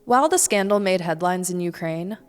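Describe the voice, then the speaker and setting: A woman's voice: fairly low pitch, animated, a little fast. American woman presenting news in a reverberant space. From United States. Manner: pointed, sardonic commentary. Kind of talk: scripted news narration.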